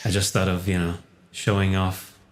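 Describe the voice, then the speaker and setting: A man's voice: low voice, completely flat delivery, moderate pace. A man from Italy, talking casually as a guest on a livestream. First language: Italian. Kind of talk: casual chat.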